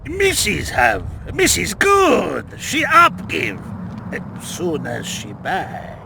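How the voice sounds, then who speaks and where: An actor playing a big, dim-witted, childlike male troll in a video game, growling in broken caveman grammar with halting short phrases. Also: high voice, wildly swooping pitch, background noise, heavily acted. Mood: plaintive. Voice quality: gravelly, growling. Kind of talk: video game character acting.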